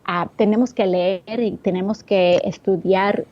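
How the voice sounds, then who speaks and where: A young woman from the Bronx whose first language is English, answering interview questions in halting, searching speech with frequent restarts. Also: mid-range voice, steady tone, darkish tone, muffled recording. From the Bronx, New York. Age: young adult. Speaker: interview guest.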